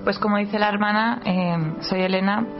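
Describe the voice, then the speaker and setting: A young woman from talks in a middle-pitched voice as a guest on a programme. She is informal and conversational, tagging her points with small questions. The recording is a bit dull, with music clearly heard beneath her. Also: steady tone, normal speed. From Spain. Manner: informal, often seeks agreement with tag questions. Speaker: interview guest, student. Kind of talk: personal testimony, conversational. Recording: dull lo-fi sound under loud music.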